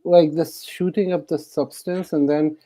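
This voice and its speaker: A man who lives in Canada and speaks English with a non-native accent, chatting in a casual discussion, animated and questioning, with many fillers. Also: a little high, unhurried, no echo. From abroad, lives in Canada.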